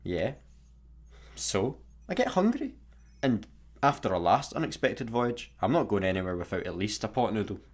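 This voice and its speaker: Grumpy space worker, animated voice. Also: male voice, fairly low pitch, moderate pace. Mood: chatty. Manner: sarcastic, grumbling. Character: grumpy, exasperated male space satellite employee. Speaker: radio comedy actor. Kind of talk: sci-fi comedy radio play acting.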